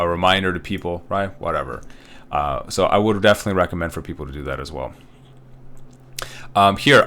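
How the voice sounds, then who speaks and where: Male college instructor, thirties, from Uzbekistan; low voice, loose and chatty delivery with plenty of fillers. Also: fairly bright tone. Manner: casual, rambling, with many fillers and tag questions. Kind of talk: recorded online course walkthrough.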